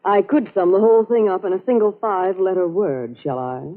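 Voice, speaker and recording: An actress playing a cool, teasing, world-weary nurse in 1940s radio drama style. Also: female voice, medium pitch, moderate pace, lightly acted. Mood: coy, teasing. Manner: coy, knowing. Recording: tinny old radio recording.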